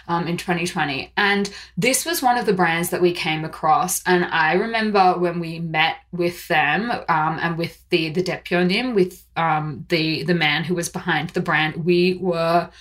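A woman's voice: lowish voice, fairly bright tone. A young adult woman hosting a solo podcast, upbeat and chatty, with fillers and small laughs. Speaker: podcast host. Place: Seoul, South Korea.

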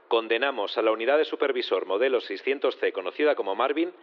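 Audio drama judge played by a man: formal, measured, mid-pitched, slightly dull recording. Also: lightly acted. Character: formal male judge presiding over a courtroom.

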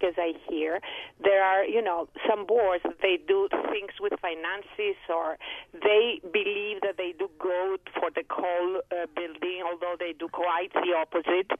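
A woman's voice: lowish voice, no echo. A woman with a Greek accent calling a radio show by telephone, speaking politely and at length to ask advice. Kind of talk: conversational, asking for advice. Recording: narrow tinny phone line.

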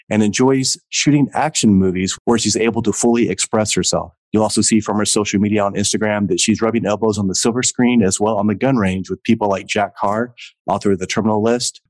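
A man hosting an interview podcast, introducing his guest in an energetic, upbeat way. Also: fairly low pitch, no room sound. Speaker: podcast host.

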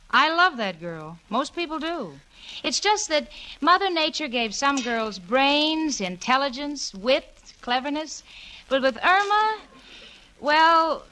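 Sardonic, exasperated young female roommate, high and very animated, 1940s radio sitcom on crackly, noisy transcription. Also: full character voice. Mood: wry storytelling. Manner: wisecracking, dry sarcasm. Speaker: narrator and co-lead of radio sitcom. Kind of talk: 1940s radio comedy acting.

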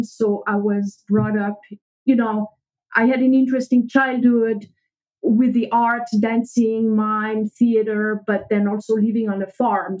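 Swiss-born woman, french accent, highish voice, talks earnest about her life, muffled audio. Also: darkish tone. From French-speaking Switzerland. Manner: earnest, spiritual storyteller. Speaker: guest. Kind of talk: podcast interview storytelling.

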